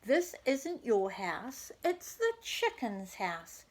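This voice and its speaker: Woman voicing a high, gently pleading friendly wolf. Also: sing-song, slow pace, exaggerated voice. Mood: firm. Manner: gently pleading, exclaiming politely. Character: big friendly wolf, kindly, soft-hearted, easily dismayed builder. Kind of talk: children's storybook character voice.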